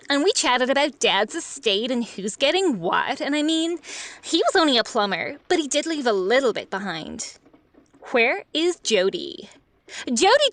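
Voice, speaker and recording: A woman playing a chatty, fussing wife and mother in a radio play, breezy and expressive. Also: high-pitched, dry recording. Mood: fretting, annoyed.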